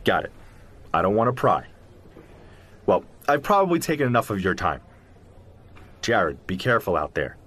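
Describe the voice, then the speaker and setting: An actor playing a sympathetic, cautious zoologist friend in an audio drama, conversational and probing. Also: male voice, fairly low pitch, very animated, moderate pace, long pauses, fairly bright tone. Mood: grave, cautioning.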